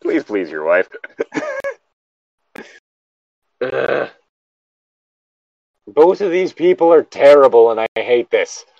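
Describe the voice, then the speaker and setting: A man with a mid-pitched voice reads and comments casually, as if among friends. He sounds exasperated and blunt, and swears freely. The sound is very dry and a little muffled. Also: sing-song, slow, gravelly, pauses often, no echo. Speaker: co-host reading a story aloud with running commentary. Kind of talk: casual riffing read-aloud.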